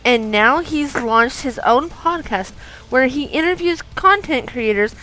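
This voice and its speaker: Woman playing a high, perky young anime heroine. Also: expressive, unhurried, bright, forceful tone, slight background noise, exaggerated voice, low-quality recording. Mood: perky, promotional. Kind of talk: scripted promotional ad. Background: music.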